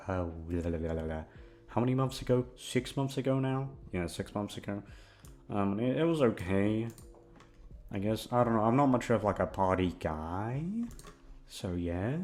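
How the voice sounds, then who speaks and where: A young man talks casually at an unhurried pace, his pitch moving around a lot. He is goofy and self-deprecating, swears freely and wanders from thought to thought. Music plays clearly behind him. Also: a little mellow. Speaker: audio content creator. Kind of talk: rambling casual chat. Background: background music.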